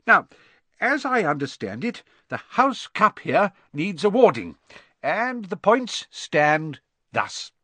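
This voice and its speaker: A man voicing a kindly old headmaster in an audiobook, making a cheerful, grand and measured speech. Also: high-pitched, sing-song, pushed and bright, no echo, pitched above the performer's own voice, heavily acted. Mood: cheerful, ceremonious.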